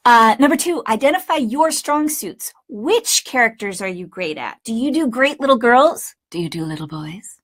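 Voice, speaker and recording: A woman giving an informal instructional talk as a voice acting coach, speaking emphatically with stressed pauses and asides. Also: a little high, animated, fairly bright tone.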